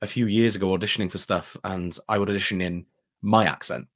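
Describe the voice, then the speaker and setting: Welsh guy, kinda low voice, chatty interview, does little voices when quoting people. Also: fast talker. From Wales. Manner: animated, acts out quoted exchanges with a shifting voice. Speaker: voice actor, interview guest. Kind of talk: casual interview chat.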